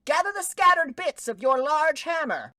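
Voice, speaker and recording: A woman playing an excitable, bossy, easily alarmed voice that barks out commands in a webcomic fan dub. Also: high-pitched, moderate pace, background noise. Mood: impatient, commanding. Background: music.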